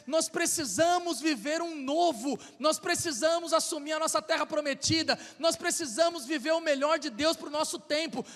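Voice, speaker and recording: A Brazilian man of middle age preaches with a strikingly high-pitched voice. He talks in a relaxed, conversational way and then drives his points home through emphatic repetition. His voice rings through the long reverb of a church hall. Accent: Brazilian Portuguese. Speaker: pastor. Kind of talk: sermon. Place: church sanctuary.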